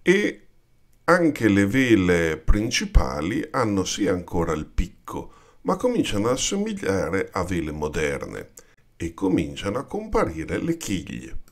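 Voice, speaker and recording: A man with an Italian accent, explaining yacht design in an unhurried, informal voice-over over pictures. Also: deep voice, huge pitch swings. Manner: unhurried and explanatory, addresses viewers with "vedete".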